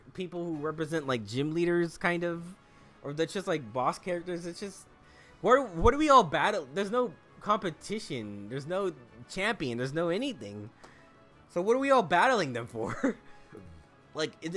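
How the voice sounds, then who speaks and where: A man giving joking gameplay commentary, animated and peppered with "like". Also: fairly high pitch. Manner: animated, joking, heavy use of "like". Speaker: let's play host.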